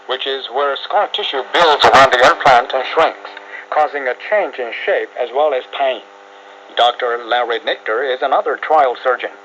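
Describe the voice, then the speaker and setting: A male radio reporter reads a scripted news piece in a bright, forward voice of medium pitch. The sound is dulled by shortwave reception, with some hum and static behind him. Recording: muffled shortwave radio, steady hiss.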